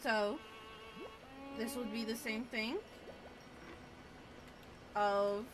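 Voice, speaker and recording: A woman giving casual gameplay commentary in a halting way, often trailing off mid-thought. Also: a little high, fairly even intonation, noisy background. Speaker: gamer recording a playthrough.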